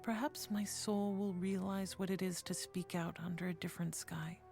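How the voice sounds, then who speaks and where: A woman reading letters as an earnest young woman philosopher, measured and formal. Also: on the low side, creaky, darkish tone. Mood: brooding. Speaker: reader of letters in a documentary.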